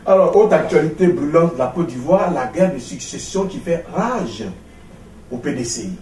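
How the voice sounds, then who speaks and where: Mellow male interviewer in an echoey room. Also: fairly high pitch, expressive, normal speed. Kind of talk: political interview questions. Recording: echoey room, distant mic, faint noise.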